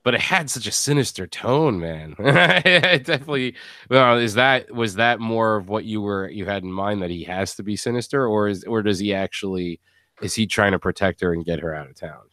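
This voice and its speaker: A man's voice: lowish voice, moderate pace, sharp tone, dry recording, clean studio sound. A man hosting a casual podcast discussion, talking in a rambling, conversational way that trails off mid-thought. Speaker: podcast host. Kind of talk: casual post-show discussion.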